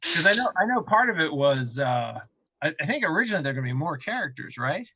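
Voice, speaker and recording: A man's voice: on the high side, sing-song, fast, pushed and bright, husky, no room sound, dull audio. A man in a casual panel discussion as a game developer, explaining things by thinking aloud and repeating himself.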